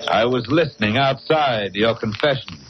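An actor playing a calm, authoritative man exposing a killer in measured 1940s radio drama style. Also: medium pitch, lightly acted. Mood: calm, triumphant. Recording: noisy, muffled old radio recording.

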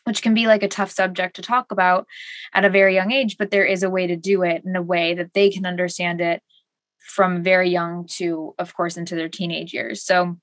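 A woman's voice: fairly low pitch, flat delivery, brisk, a bit bright, no room sound. A woman hosting a casual interview, curious and asking open questions. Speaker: host, interviewer.

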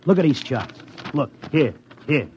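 An actor playing a stubborn, lovesick Broadway handicapper with a New York accent and slangy talk, in 1950s radio drama style. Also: male voice, fairly high pitch, animated, measured pace, a little mellow, slight background noise. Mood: stubborn, insistent. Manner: slangy, colloquial tough-guy talk. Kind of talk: 1950s radio drama acting, first-person narration and dialogue. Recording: dull vintage radio recording, music behind.

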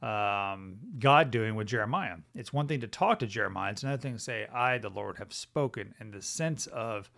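A man's voice: on the low side, moderate pace, gravelly, few pauses, fairly bright tone. A middle-aged man hosting a Bible teaching podcast, talking conversationally and thinking aloud with many fillers and "right?" tag questions.

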